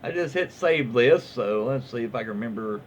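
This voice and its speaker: A man's voice: medium pitch, animated, normal speed. A man with a Southern American accent casually reading online stories aloud, folksy and informal, stumbling over words and adding off-the-cuff commentary. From southern United States. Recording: muffled lo-fi audio, faint background noise.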